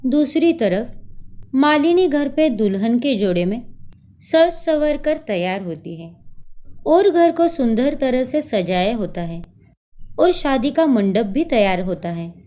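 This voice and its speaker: A woman narrates a scripted story. Her voice is on the high side and very mellow, and she takes her time over each sentence. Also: sing-song, unhurried.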